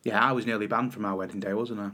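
A man co-hosting a podcast in casual chat, with a loud voice that carries. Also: lowish voice, steady tone, racing. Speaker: podcast co-host.

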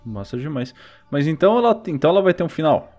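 A man's voice: on the high side, very animated, quick pace, darkish tone. A man with a Brazilian accent hosting a podcast in a casual, slangy, conversational way. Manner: informal, slangy. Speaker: podcast host. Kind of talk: casual chat. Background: music.

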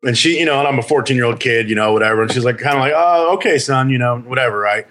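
A man's voice: medium pitch, quick pace, fairly bright tone. A man in his thirties from California, a podcast guest telling stories in a rambling way with many fillers. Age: 30s. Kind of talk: casual storytelling chat.

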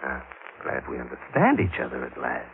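An actor playing a menacing, taunting fugitive gunman in 1940s radio thriller style, with mock-courteous threats and drawn-out pauses. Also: rumbling low, wildly swooping pitch, creaky, exaggerated voice. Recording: noisy, tinny old-time radio recording.

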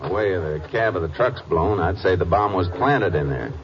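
Dull vintage radio recording, low-voiced lawman, calm, clipped, authoritative, radio drama detective style. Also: moderate pace. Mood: businesslike, focused. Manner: clipped, matter-of-fact, authoritative. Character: calm, methodical male Texas Ranger investigator. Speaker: actor in lead role and narrator. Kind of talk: 1940s-50s radio drama acting. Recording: dull old-time radio recording with music.

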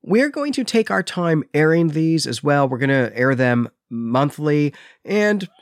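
A man hosting a literary podcast, explaining things in a measured, conversational way. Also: a little high, sing-song, no echo, studio quality. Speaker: podcast host. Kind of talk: literary podcast discussion and recap.

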